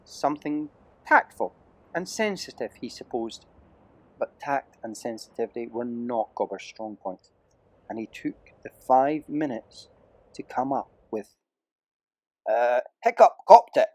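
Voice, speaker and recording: A man's voice: mid-range voice, animated, unhurried, low-quality recording. A man narrating an adventure audiobook as a dramatic, theatrical storyteller with emphatic delivery.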